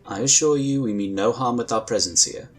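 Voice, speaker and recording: A man playing a calm, diplomatic male inquisitor who talks in a measured, courteous way. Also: mid-range voice, faint music behind, lightly acted. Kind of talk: fan audio drama acting.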